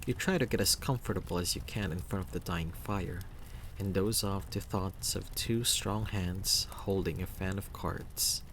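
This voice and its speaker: A man's voice: low voice, a little slow, fairly bright tone, slight background noise. A man reading scripted narration from a story game aloud.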